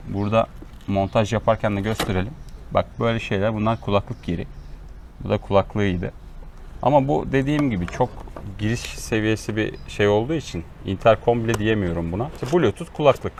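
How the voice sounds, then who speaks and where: A man with an average-pitched voice presents in a casual vlog style. He explains and gives practical instructions conversationally. Noticeable ambient noise sits behind his voice. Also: darkish tone. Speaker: vlog host showing motorcycle gear. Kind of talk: casual hands-on demonstration chat.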